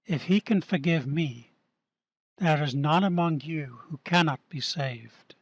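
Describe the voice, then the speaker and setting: A man voicing an earnest male preacher in an amateur radio play, speaking slowly and deliberately. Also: fairly high pitch, dry recording. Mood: earnest, preaching. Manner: slow, deliberate sermon-like delivery. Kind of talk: amateur radio drama reading.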